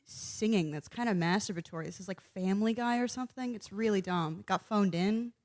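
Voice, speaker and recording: A woman's voice: mid-range voice, a little fast, a little mellow, no echo, studio quality, close to an everyday voice. A woman playing a wry friend in a comic Christmas radio play. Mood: dismissive.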